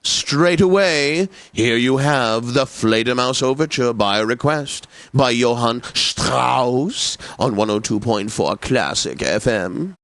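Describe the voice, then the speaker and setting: A man's voice: mid-range voice, expressive, takes it slowly, hardly any pauses, a bit bright, no echo. A man playing a pompous, whimsical classical music radio host for a game, announcing with theatrical flourish and precision. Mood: grandly whimsical.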